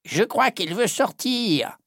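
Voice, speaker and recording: A woman voicing an anxious one-eyed male pirate sailor who speaks low, slow and pleading. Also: sing-song, creaky, fairly bright tone, slightly rough, no room sound, clean studio sound, full character voice.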